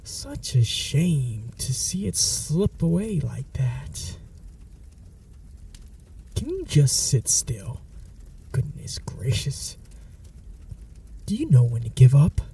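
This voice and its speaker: A man's voice: mid-range voice, dark tone, distant mic, exaggerated voice. A man voicing a menacing, possessive male vampire in an ASMR audio roleplay, speaking in a whispery, mocking, threatening way. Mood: taunting. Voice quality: whispery, gravelly.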